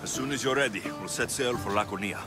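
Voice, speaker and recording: A man's voice: on the low side, fast talker, natural voice. A man playing a stoic, determined Greek mercenary in his thirties, terse and brooding, in a video game. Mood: resolute. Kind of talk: dramatic video game acting. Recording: music behind.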